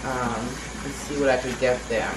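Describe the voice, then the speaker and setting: A woman's voice: rumbling low, fairly even intonation, normal speed. A young woman chatting casually as a vlogger in her apartment, rambling and correcting herself as she goes. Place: apartment kitchen and living room. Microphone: camera mic from a few feet away. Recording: echoey room, faint room noise.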